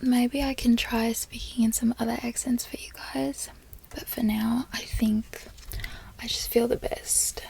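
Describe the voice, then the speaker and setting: A young woman from Florida whispering softly in a chatty, rambling way, as a relaxed ASMR talk. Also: fairly high pitch, measured pace, creaky. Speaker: ASMR creator.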